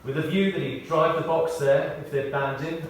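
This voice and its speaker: A British man reads a scripted memorial tribute as a eulogist, telling anecdotes in a measured way with pauses and emphasis. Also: a little high, fairly even intonation. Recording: very echoey, distant mic, faint noise.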